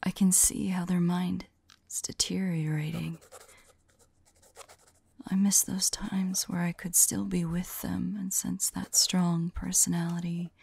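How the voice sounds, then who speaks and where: A woman playing an anguished, lovelorn mage in an ASMR audio roleplay, speaking her journal entries in a soft, breathy, halting and mournful voice. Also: on the low side, heavily acted. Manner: hushed, halting, mournful, intimate. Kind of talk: slow dramatic monologue, ASMR audio roleplay.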